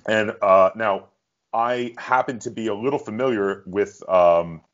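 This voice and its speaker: Male host, fairly low pitch. Relaxed conversational questioning, muffled low-fidelity recording. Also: unhurried. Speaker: interviewer, host. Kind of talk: casual interview conversation. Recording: dull, lo-fi audio.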